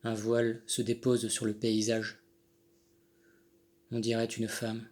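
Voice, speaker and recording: A man reciting his own poem in measured, deliberate phrases with pauses between them. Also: fairly low pitch, monotone, a little mellow. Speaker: poet reading own poem. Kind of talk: poetry recitation. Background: Handel music accompanies the reading.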